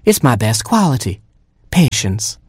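A storyteller voicing a bossy, quick-tempered mother hen who clucks and scolds. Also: very deep voice, very animated, a little mellow, husky, no echo, clean studio sound, pitched above the performer's own voice, heavily acted. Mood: scolding.

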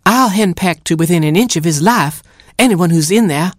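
A storyteller voicing a bossy, quick-tempered mother hen who clucks and scolds. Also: on the low side, very animated, no room sound, studio quality, pitched above the performer's own voice. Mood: scolding.

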